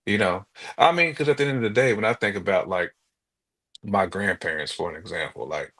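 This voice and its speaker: An American man co-hosting a podcast in casual conversation, telling things in an animated, colloquial way with imagined quotes. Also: deep voice, brisk. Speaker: podcast co-host.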